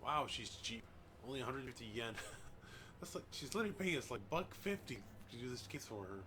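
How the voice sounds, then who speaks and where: A man's voice: medium pitch, very animated, a little fast, noisy background. A man hosting a game playthrough, reading on-screen dialogue aloud in a casual way, tripping over names and adding chatty asides. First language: English. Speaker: gaming channel host. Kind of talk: let's play, reading game text aloud. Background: loud music.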